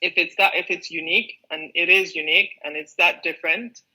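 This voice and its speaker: A woman interview host with a Yoruba-inflected Nigerian English accent, speaking over a video call. She is chatty and playful and laughs easily. Her voice is bright and sits slightly low. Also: fairly even intonation, fairly quick, low-quality recording. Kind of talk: casual interview chat.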